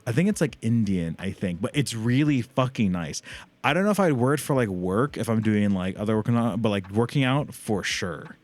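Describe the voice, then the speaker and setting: A man's voice: lowish voice, expressive, fairly quick, a little mellow, no room sound. A man chatting casually as a livestream host and gamer, rambling in loose slang with frequent swearing.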